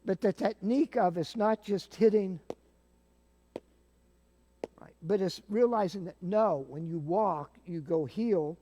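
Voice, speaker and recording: Older man with a high, mellow voice. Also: a little slow, long pauses, a little hiss or hum. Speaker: guest, theater sound-effects practitioner. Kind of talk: casual explanatory demonstration.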